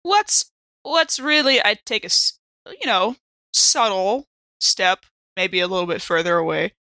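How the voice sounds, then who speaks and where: A woman's voice: mid-range voice, unhurried, sharp tone, no echo. A woman bantering casually and role-playing as a player in a tabletop game.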